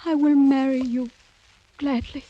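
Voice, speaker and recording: An actress playing an earnest, anguished young French noblewoman, pleading formally in a breathy voice, old-time radio drama style. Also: high voice, little pitch movement, unhurried, muffled recording.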